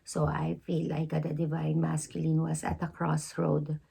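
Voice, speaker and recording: A woman giving an intuitive tarot reading, hoarse because she is losing her voice, speaking slowly with many halting pauses. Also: low-pitched, completely flat delivery, dark tone. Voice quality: hoarse, gravelly. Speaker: tarot reader.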